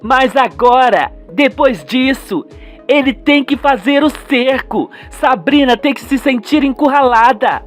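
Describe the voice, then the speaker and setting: A man's voice: very high voice, measured pace, a bit bright, soft background music, voice pushed up. A man voicing an indignant young woman, a daughter speaking insistently in a radio soap.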